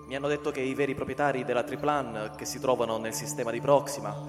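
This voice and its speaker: A male actor plays a probing office colleague in a radio drama, speaking in a measured, confiding way at middle pitch. The hall rings around him and music plays clearly behind. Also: little pitch movement, lightly acted.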